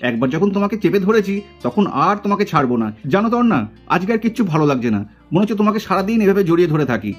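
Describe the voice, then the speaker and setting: A woman's voice: mid-range voice, very animated, racing, faint music behind. A woman voicing a devoted young man doll in a puppet drama, earnest and coaxing. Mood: playful, indignant.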